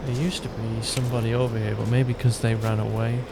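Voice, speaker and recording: Guy doing chill lets play commentary, croaky voice, kinda thinking out loud, game noise behind him. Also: mid-range voice, level tone, a little fast. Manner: loose, thinking aloud. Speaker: gaming video host. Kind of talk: casual gameplay commentary. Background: game ambience.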